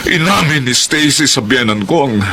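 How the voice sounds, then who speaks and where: A man acting a betrayed, emotional young husband in a Filipino radio drama, with halting, charged delivery. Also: medium pitch, animated, background noise, lightly acted. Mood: bitter, heartbroken.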